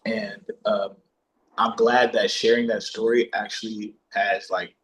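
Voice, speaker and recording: A man's voice: fairly low pitch, flat delivery, measured pace, a bit bright, rough recording. A man talking casually as an actor being interviewed, with slangy, sweary, bro-heavy phrasing. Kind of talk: casual chat.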